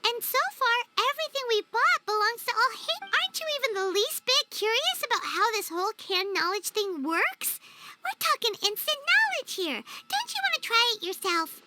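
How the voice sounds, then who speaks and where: A woman voicing a small, excitable, childlike fairy companion in a video game, chatty and naive, with a squeaky voice. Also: moderate pace, heavy background noise. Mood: excited, persuading. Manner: refers to self in third person, exclaiming, wheedling. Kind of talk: video game cutscene acting. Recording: music under the voice.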